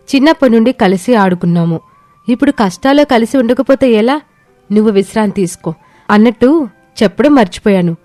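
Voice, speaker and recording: A woman's voice: mid-range voice, warm tone, no room sound, close to an everyday voice. A woman storyteller voicing a devoted young village wife, tender, coaxing and reassuring. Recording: music bed behind the voice.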